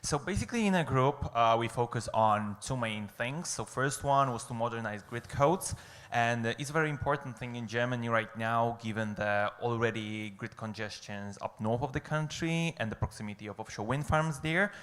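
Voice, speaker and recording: A man's voice: mid-range voice, moderate pace, fairly bright tone. A man from Poland reporting back to a conference as a group rapporteur, speaking off the cuff with many hesitations and restarts. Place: conference hall on an island.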